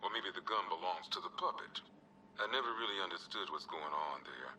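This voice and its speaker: An actor playing a gruff, world-weary American police officer, logging evidence for a video game in a terse, streetwise way with dry, wry asides. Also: male voice, low-pitched, expressive, brisk, bright, forceful tone, recorded from a distance, slight background noise, exaggerated voice, low-quality recording. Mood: dry, matter-of-fact. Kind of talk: scripted video game evidence log narration.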